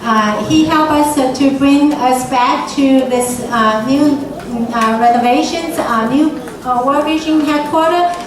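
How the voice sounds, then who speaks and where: A woman giving thanks to an audience, hesitant and full of pauses between words. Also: sits high. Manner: hesitant, many "uh" fillers. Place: large echoey hall. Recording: echoey hall, distant mic, noisy.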